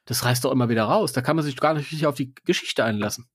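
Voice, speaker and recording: A man's voice: medium pitch, rapid-fire, breathy edge, dry recording, clean studio sound. A man co-hosting a podcast in casual, joking chat, playfully throwing in remarks. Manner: playful, interjecting. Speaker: podcast co-host.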